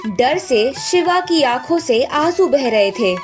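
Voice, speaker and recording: A woman's voice: sits high, animated, takes it slowly. A woman narrating a scripted cartoon story as the storyteller. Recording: faint background music.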